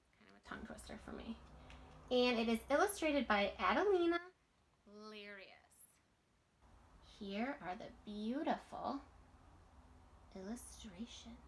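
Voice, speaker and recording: A woman in her thirties reads a children's storybook aloud expressively, pausing deliberately between phrases. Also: fairly high pitch, lively intonation, a little hiss or hum. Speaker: teacher reading a storybook aloud.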